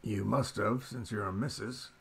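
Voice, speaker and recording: A man plays a creaky, low-voiced police sergeant from a radio mystery. He is gruff and weary, grumbling slangy wisecracks. There is faint noise behind him. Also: fairly even intonation, moderate pace. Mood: blunt. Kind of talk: old-time radio drama acting. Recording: noisy amateur radio play recording.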